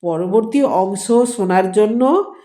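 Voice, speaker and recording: A woman narrating a scripted story in a measured, literary and expressive storyteller's delivery. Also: medium pitch.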